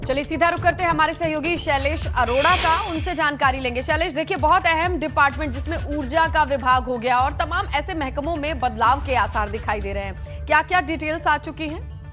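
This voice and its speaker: A woman's voice: high-pitched, a little fast, a bit bright, faint music behind. A woman presenting television news as an anchor, in bulletin and handover style. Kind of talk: news presenting, scripted lines and handovers to reporters.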